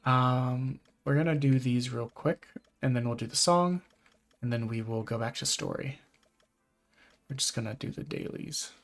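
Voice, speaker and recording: Stuffy-nosed man streaming, gravelly and easygoing. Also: mid-range voice, normal speed. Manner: relaxed, rambling, self-deprecating. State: stuffy, congested nose. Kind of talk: casual live-stream chat while gaming.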